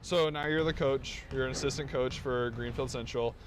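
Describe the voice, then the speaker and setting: A teenage male student interviewer who asks questions in an earnest, somewhat halting way. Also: mid-range voice, steady tone. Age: teens. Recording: noisy outdoor surroundings.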